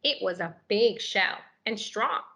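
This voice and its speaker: Female children's story reader, medium-pitched, expressive and animated, engaging young listeners as she reads. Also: unhurried. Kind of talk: expressive picture-book read-aloud.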